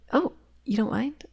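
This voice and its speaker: A woman's voice: a little high, vocal fry, mellow, breathy edge, heavily acted. A woman playing a shy young male best friend in an ASMR roleplay, rambling hesitantly with stammers and nervous giggles. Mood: shy excitement.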